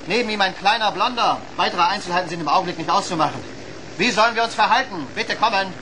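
A serious, brisk radar officer played by a man delivers formal, exact reports. The voice is high for a man, over clearly audible noise. It is acted in the manner of a children's radio play. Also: close to an everyday voice. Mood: serious, urgent.

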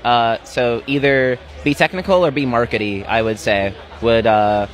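A man's voice: medium pitch, steady tone, moderate pace. A man in his twenties giving casual answers in an outdoor interview on a handheld mic. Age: 20s. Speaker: interviewee, tech start-up co-founder. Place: outdoors at a conference.

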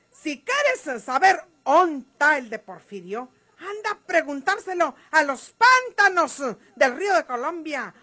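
Fiery high-voiced Mexican ranch woman. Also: bright, forceful tone, heavily acted. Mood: angry, scornful. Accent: northern Mexican. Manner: scornful, rustic country speech. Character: defiant, hot-tempered bandit's woman, María Eugenia. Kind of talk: vintage Mexican radio drama acting.

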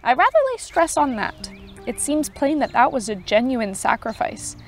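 A woman voicing a gentle but authoritative unseen advocate who speaks kindly and with measured calm. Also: high voice, faint music behind. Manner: kind, measured, pleading.

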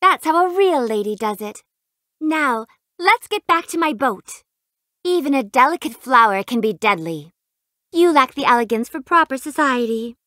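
A woman's voice: high voice, expressive, moderate pace, pushed and bright, no echo, clean studio sound. A woman voicing a haughty, refined rich young lady in a fighting game, with a prim, imperious, theatrical delivery. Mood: smug, disdainful. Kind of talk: video game fight voice clips.

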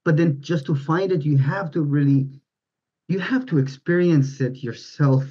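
A man's voice: on the high side, normal speed, warm tone, breathy edge. A man in his thirties, a guest on a video call, talking earnestly in a rambling way with many restarts. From born in the United States, raised in India, Dubai and Pakistan. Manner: rambling, earnest, frequent restarts, gestures with hands. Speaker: guest, mind power teacher. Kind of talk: casual video-call interview. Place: home room with bright yellow walls and posters, indoors. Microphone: webcam on video call. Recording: dull lo-fi video call audio.

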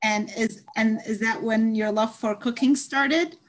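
A woman's voice: a little high, fairly even intonation, measured pace. A middle-aged woman hosting a conversational interview series, speaking over a video call from a room at home. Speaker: talk series host. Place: indoor home study with bookshelves, on a video call. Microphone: video call computer mic.

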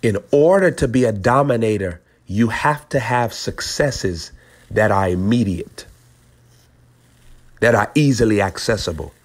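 A male preacher with a very low, husky voice delivers prophetic teaching. He speaks deliberately in short maxims. Key phrases come back a second time for emphasis. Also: lively intonation. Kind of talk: prophetic teaching over a phone call.

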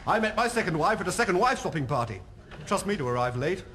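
An actor playing a smug, excitable English head waiter in television sketch comedy, polite but given to fervent ranting. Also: male voice, sits high, fast, heavy background noise. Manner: polite, building into fervent rants.

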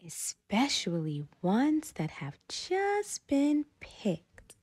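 A woman reading a children's picture book aloud expressively, dramatically stretching words and dropping into breathy whispers. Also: sits high, wildly swooping pitch, drawn-out words, a little mellow, a little hiss or hum. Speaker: storytime reader and channel host.

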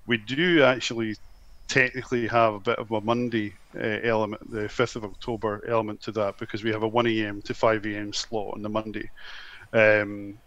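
A man's voice: lowish voice, flat delivery, brisk, fairly bright tone, no room sound, dull audio. A man with a slight Glasgow accent from Scotland, a convention organiser chatting at length in an interview, with lots of ums. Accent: Scottish, slight Glasgow. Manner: talks at length with frequent ums and restarts. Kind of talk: casual podcast interview.

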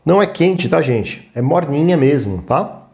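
A man's voice: fairly high pitch, lively intonation, measured pace, dull audio, background noise. Brazilian man casually teaching a recipe. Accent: Brazilian Portuguese. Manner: informal, instructive, frequent check-in tags. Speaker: cooking show host. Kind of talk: casual step-by-step cooking demonstration.

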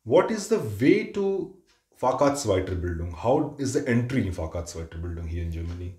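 A man's voice: on the low side, moderate pace. A man in his thirties from India, hosting a casual interview from a living-room sofa, explaining things with fillers and restarts. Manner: relaxed, explanatory, with frequent filler sounds and restarts. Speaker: interviewer and channel host. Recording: echoey room.

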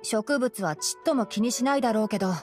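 A woman voicing a calm young male fox-eared forest scholar in a Japanese anime game, explaining in a measured, boyish way. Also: on the high side, close to an everyday voice. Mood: quietly reproving. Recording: music behind the voice.

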